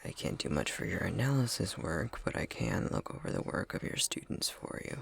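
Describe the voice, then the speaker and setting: A man's voice: sits low, huge pitch swings, brisk, vocal fry, breathy edge, exaggerated voice. A man playing a prickly, grudgingly caring elf academic in an ASMR roleplay, with a curt, haughty, exasperated delivery that often drops into whispers. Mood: dry, grudgingly kind.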